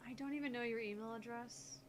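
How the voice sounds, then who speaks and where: A woman voicing a sharp, prickly young scientist in a visual novel game, dry and tart, over a noisy background. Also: fairly high pitch, fairly even intonation, fast, close to an everyday voice.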